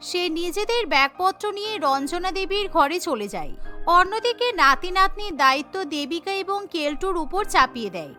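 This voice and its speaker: A woman narrates a cartoon in a scripted, storytelling manner. Her voice is very high and sharp-edged. Soft music plays underneath. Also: big pitch swings, brisk. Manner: storytelling, voices every character.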